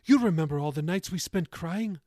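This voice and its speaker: A man's voice: on the high side, expressive, a little fast, warm tone, no room sound, clean studio sound, voice pushed up, natural voice. A man voicing a pious older grandmother in an audiobook, earnest and protesting as she pleads with her grandson. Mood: earnest, wounded.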